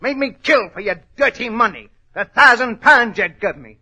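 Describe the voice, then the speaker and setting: A man's voice: very high voice, normal speed, bright, forceful tone, husky, exaggerated voice. An actor playing a desperate, vengeful gunman in 1940s radio style, menacing, bitter and agitated, on a muffled old recording. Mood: bitter, accusing.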